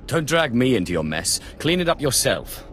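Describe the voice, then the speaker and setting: An actor playing a cold, sardonic Sith lord in a video game, terse and commanding. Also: male voice, mid-range voice, big pitch swings, normal speed, fairly bright tone, a little hiss or hum. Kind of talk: video game hero dialogue.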